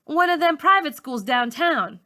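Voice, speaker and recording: A woman's voice: high voice, expressive, a little slow, sharp tone, voice pushed up, heavily acted. A woman voicing a blunt, nosy middle-school girl from a storybook, with informal, challenging questions. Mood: blunt, curious.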